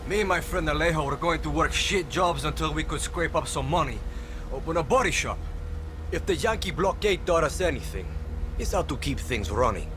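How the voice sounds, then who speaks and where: An actor playing a brash, sardonic young guerrilla fighter who swears freely in a video game. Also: male voice, fairly high pitch, lively intonation, a little fast, natural voice. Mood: wry. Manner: sardonic, profane. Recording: loud music behind.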